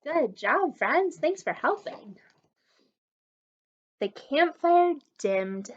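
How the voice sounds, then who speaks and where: Slow, high-voiced woman reading to children. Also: highs cut off. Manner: animated, encouraging, talks directly to young listeners. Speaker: children's story time reader and literacy teacher. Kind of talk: expressive read-aloud storytelling for young children.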